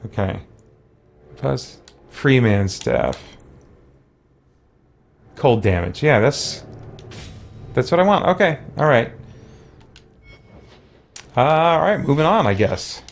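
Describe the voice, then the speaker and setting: A man's voice: medium pitch, sing-song, slow, gravelly, pauses often. A man giving casual gameplay commentary, musing and talking to himself as he goes. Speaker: gaming let's-play host.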